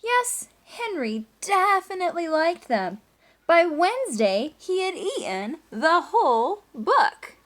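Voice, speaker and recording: A woman teacher doing an animated read-aloud of a children's story, with chatty asides and questions to young listeners. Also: very high-pitched, takes it slowly. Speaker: teacher reading aloud to class.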